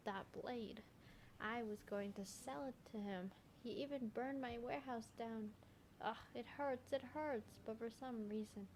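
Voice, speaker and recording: A woman's voice: medium pitch, darkish tone, slight background noise, low-quality recording. A woman game streamer giving informal, reactive let's play commentary. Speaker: streamer playing a video game.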